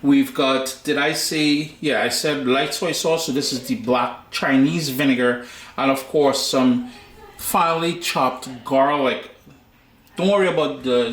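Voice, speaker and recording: A man with a Trinidadian accent talks through a cooking lesson in an easygoing, chatty way, tossing in slangy asides. His voice sits at an ordinary middle pitch. The kitchen around him gives the recording a noticeable echo. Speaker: cooking show host. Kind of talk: casual cooking tutorial.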